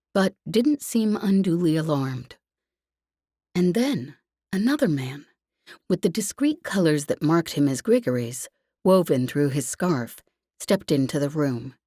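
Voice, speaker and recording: Low-pitched, expressive female audiobook narrator. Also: a little slow, dry recording, clean studio sound. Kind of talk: scripted fiction narration. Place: dry, close recording space.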